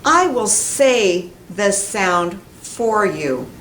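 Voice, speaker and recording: Woman, American accent, very slow careful teaching, mid-pitched, emphatic, faint classroom background noise. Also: expressive, a bit bright. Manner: deliberate, emphatic, carefully enunciated. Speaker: English pronunciation teacher. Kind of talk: instructional lesson to camera. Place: indoor classroom in front of a whiteboard.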